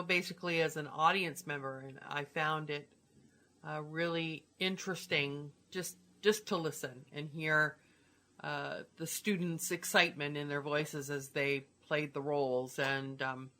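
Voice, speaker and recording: A woman with a very low-pitched voice and a bright, forceful edge co-hosts a casual chat. She speaks conversationally, as a co-producer would. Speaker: co-host, co-producer.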